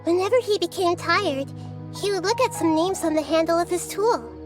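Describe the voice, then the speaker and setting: A woman voicing a young girl goddess who is gentle, curious and wise, speaking softly and thoughtfully in a game with music behind. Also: extremely high pitch, expressive, normal speed. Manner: soft, thoughtful, patient. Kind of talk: game voice acting.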